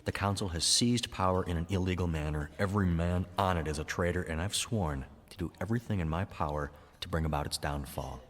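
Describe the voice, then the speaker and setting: A grim lone ex-guardsman bent on vengeance in a radio space opera. His voice is exceptionally deep, his delivery terse and guarded. Long reverb hangs around it. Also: a little fast, hardly any pauses. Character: brooding, vengeful male ex-imperial guardsman, lone fighter. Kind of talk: audio drama acting.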